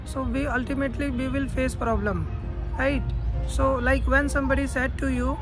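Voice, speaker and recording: A high-pitched woman gives a spoken lesson, circling back over the same points with lots of "uh" and restarted words. Clear music plays under her voice the whole time. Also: fairly even intonation, normal speed. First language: not English. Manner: repetitive, earnest, many fillers and restarts. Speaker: English teacher. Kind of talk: spoken English lesson monologue.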